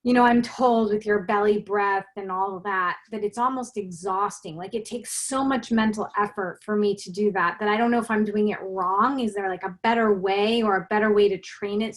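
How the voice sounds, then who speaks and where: A woman's voice: medium pitch, steady tone, normal speed, few pauses. Woman, student on a video call, thin voice, narrow range, rambling question. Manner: rambling, searching for words. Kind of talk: asking a question in an online class.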